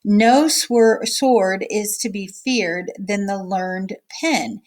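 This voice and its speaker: Female teacher, medium pitch, read-aloud for kids mixed with casual, simple explanations and small check-ins with the listeners. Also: very slow, a little mellow. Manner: explains simply, checking in with okay and all right. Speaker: teacher reading a picture book aloud.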